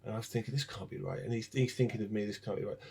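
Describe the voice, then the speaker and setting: A man, a guest songwriter, talking casually with stumbling starts and quick bursts. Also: fairly low pitch, fairly even intonation, gravelly, a little mellow, slightly rough. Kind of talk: casual interview chat.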